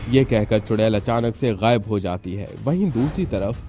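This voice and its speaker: Male storybook narrator over soft music. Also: lowish voice, expressive, moderate pace.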